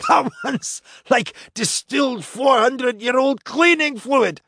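A man's voice: very high-pitched, slow, sharp tone, slightly rough, no echo, clean studio sound, voice pushed up, full character voice. A man voicing a blustering, wry, roguish male spaceship captain in an audiobook, exclaiming theatrically in colloquial speech. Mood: boisterous. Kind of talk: audiobook character acting.

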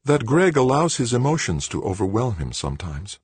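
Composed, diplomatic executive mother, played by a male narrator: lifted pitch, lively intonation, audiobook drama. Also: normal speed, slightly rough, no room sound, heavily acted. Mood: cool, calm. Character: calm, cool, calculating executive woman, mother of an heir.

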